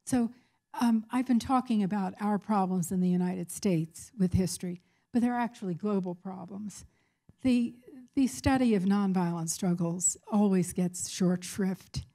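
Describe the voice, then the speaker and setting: An older woman with a mellow, relaxed voice and a middling pitch speaks as an academic at a live event. She phrases things deliberately and with measured emphasis, as if answering a question from the floor. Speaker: academic speaker, professor of peace studies. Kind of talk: public lecture answering audience questions.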